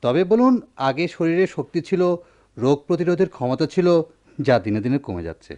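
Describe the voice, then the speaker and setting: A man playing an earnest, conscience-stricken village doctor in a radio drama, with measured, educated diction and a Bangladeshi accent. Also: a little high, very animated, mellow, muffled recording. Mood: earnest, concerned. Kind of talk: Bengali radio drama acting.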